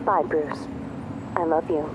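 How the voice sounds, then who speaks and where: A woman playing a caring but sardonic young woman in an audio drama, with an expressive delivery. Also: deep voice, slow pace, background noise. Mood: worried, loving.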